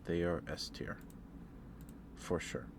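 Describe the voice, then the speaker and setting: A man hosting an ASMR livestream, chatting casually in a halting, rambling way with pauses and repetitions. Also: deep voice, fairly even intonation, creaky, noisy background. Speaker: livestream host.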